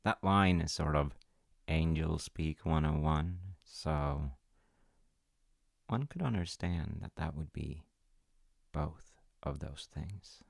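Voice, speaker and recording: A man with a very low voice tells a story at a slow, halting pace, as if to his own child. His delivery is intimate and wry, with dramatic pauses, and the sound is dry and close. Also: gravelly, no room sound. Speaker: audio roleplay storyteller playing a dad. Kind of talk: intimate storytelling roleplay, ASMR.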